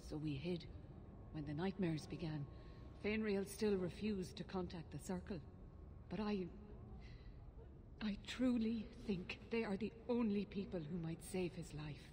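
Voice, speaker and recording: A woman's voice: fairly low pitch, slow pace, mellow, slight background noise. An actress playing an anxious, earnest elven mother who fears for her child, in grave fantasy game dialogue.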